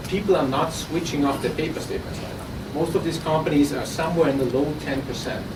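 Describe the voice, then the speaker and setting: A man with an Austrian accent giving a live startup pitch to an audience, talking conversationally and often restarting his sentences. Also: quick pace. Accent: Austrian German. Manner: conversational with frequent restarts and repeated words. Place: conference room at an innovation event. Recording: roomy, distant, noisy audience recording.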